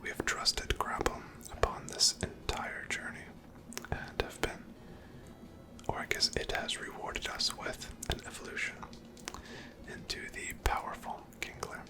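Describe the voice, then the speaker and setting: Slow, whispered ASMR gaming voice. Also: rough recording. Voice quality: whispered, breathy. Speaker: gameplay host. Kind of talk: whispered ASMR video game commentary.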